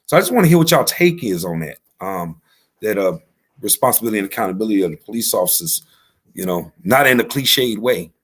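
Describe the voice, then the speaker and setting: A man hosting a casual panel discussion on a video call, talking in halting, rambling runs full of fillers. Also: on the low side, animated, breathy edge. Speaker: panel host.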